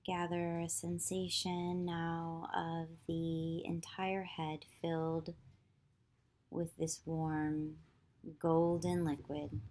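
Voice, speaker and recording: A woman yoga teacher leading a guided visualization meditation, giving slow, soothing instructions with long pauses. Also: low-pitched, completely flat delivery, a little mellow.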